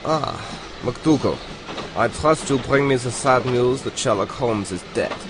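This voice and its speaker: An actor playing a menacing, short-tempered agent in a comic audio drama. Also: male voice, mid-range voice, animated, measured pace, over music. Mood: smug menace. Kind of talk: audio comedy drama acting. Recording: loud train noise behind.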